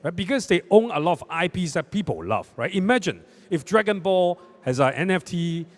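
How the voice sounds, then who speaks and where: Guy on a panel, kinda high voice, bounces all over, keeps saying right?. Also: a little fast. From Hong Kong. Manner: animated, tags statements with "right?". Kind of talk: panel discussion. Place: conference stage.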